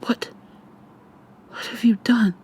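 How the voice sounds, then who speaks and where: A woman playing a defiant, frightened young human captive in an intimate, hushed, breathy ASMR roleplay, tense and sweary. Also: slow pace, natural voice. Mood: angry, distrustful. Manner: tense, profane, confrontational.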